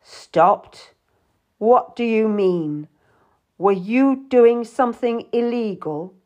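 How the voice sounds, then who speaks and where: A woman's voice: medium pitch, sing-song, slow, lo-fi sound. A woman voicing an angry, cold man berating someone in an audiobook drama, stern and accusing. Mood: icy.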